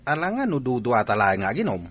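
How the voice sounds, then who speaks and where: A man's voice: medium pitch, sing-song, fast, fairly bright tone. A man with a Filipino accent playing a lazy, scheming village drunkard in a comedy radio drama, brash and dismissive.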